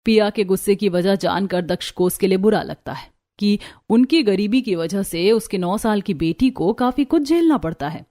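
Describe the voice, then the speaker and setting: A woman's voice: medium pitch, animated, normal speed, few pauses, mellow, no room sound, studio quality. A woman reading scripted narration for a cartoon family story.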